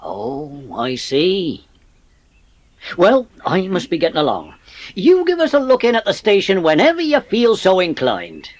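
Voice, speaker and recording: Woman playing a folksy railwayman, very animated. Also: fairly low pitch, a little slow, dry recording, full character voice. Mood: genial. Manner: folksy, kindly, inquisitive. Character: friendly, chatty adult male village railwayman. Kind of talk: dramatised storybook audiobook.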